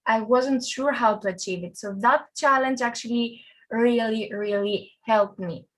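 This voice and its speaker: A woman's voice: fairly high pitch, a little slow, fairly bright tone, rough recording. A young woman from Greece, a front-end developer, presents a conference talk in a friendly, conversational way with fillers and restarts.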